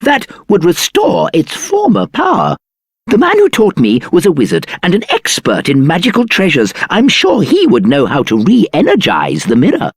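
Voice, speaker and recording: Polite bookish royal wizard type for a game, super swoopy delivery, dry recording, formal. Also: male voice, high-pitched, wildly swooping pitch, normal speed, slightly rough, no echo, full character voice. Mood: musing. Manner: formal, musing. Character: polite, scholarly royal magic expert, thoughtful and theatrical. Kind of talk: video game voice acting.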